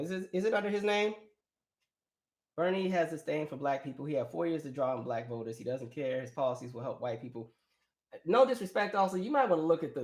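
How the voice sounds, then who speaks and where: An American man hosting a casual live chat, opinionated and colloquial, thinking aloud as he goes. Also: medium pitch. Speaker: live stream host. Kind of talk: casual live chat, reading and answering viewer comments. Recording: echoey room on a live stream.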